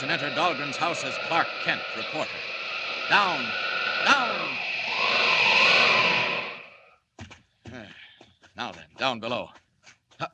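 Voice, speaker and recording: An actor playing a bold, alert flying superhero, thinking aloud emphatically in 1940s radio style. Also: male voice, mid-range voice, natural voice. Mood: bold, urgent. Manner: emphatic, talking to himself. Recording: old radio broadcast, loud noise behind.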